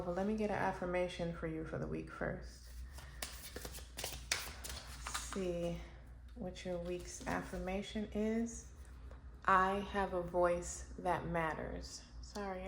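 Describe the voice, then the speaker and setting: Slow, musing woman reading tarot cards. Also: lowish voice. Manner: slow, musing, thinking aloud with pauses and fillers. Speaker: tarot reader. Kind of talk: casual pick-a-card tarot reading. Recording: echoey room with faint background noise.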